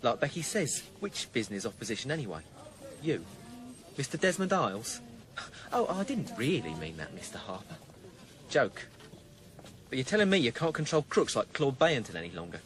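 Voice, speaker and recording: An actor playing a cocky, evasive small-time criminal in a sardonic, bluffing manner in a naturalistic radio drama. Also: male voice, medium pitch, big pitch swings, normal speed, long pauses, natural voice. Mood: wary, defiant.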